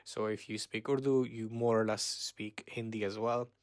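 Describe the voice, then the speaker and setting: A man in his twenties from Pakistan, hosting a casual solo podcast and rambling with many pauses and self-corrections. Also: fairly low pitch, flat delivery, no room sound, clean studio sound. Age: 20s. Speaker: podcast host.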